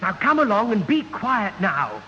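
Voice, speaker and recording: An actor playing a prim, pompous gentleman guest, fussy and formal, in a radio sitcom. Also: sits high, huge pitch swings, measured pace, heavily acted. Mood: flustered, proper. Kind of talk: 1970s radio sitcom acting. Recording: muffled old radio, slight hiss, echoing hall.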